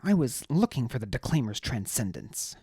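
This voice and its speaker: A narrator voicing a battered young man thief who answers in a dry, sardonic, low-key way. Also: very animated, normal speed, warm tone, slightly rough, dry recording. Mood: wry.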